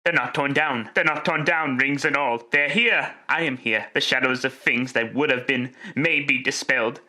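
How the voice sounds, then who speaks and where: A man playing an overjoyed old miser turned merry in a classic radio drama, exclaiming and stammering with excitement. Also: mid-range voice, moderate pace. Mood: relieved, earnest.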